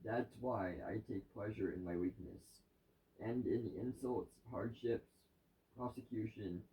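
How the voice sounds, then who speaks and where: A man's voice: on the low side, a little slow. Distant, echoey man reading scripture aloud. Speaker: reader of a daily devotional. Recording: distant, roomy, dull lo-fi sound.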